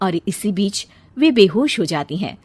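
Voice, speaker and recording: A woman's voice: mid-range voice, big pitch swings, unhurried, a little mellow, slightly rough, no room sound. A woman reading scripted story narration.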